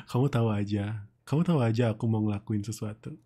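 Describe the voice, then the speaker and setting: Man playing a breathy, mellow, flirtatious boss. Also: fairly low pitch.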